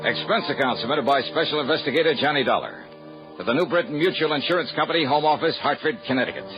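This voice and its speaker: A man's voice: mid-range voice, big pitch swings, fast, over music. An actor playing a wisecracking male insurance investigator around thirty, dry and easygoing in a 1950s radio drama. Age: about 30. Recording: dull, noisy old radio broadcast.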